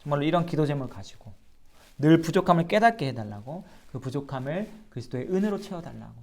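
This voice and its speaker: A man's voice: a little high, animated, fast talker, a little mellow. A man, a pastor, giving an earnest sermon-style Bible lecture and asking his listeners rhetorical questions. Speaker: pastor teaching a Bible class.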